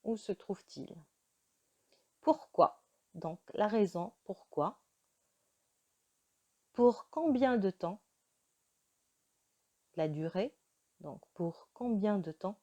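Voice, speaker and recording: Slow, mellow teacher. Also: female voice, mid-range voice, animated. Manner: slow, careful teaching delivery with frequent hesitations. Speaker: French teacher. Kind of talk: slow beginner language lesson.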